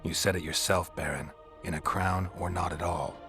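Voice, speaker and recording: A man's voice: sits low, fairly even intonation, moderate pace, fairly bright tone, soft background music. A man playing a stoic, world-weary mercenary monster hunter in a fantasy video game, speaking in a terse, dry, understated way. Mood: pressing. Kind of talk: dramatic fantasy game acting.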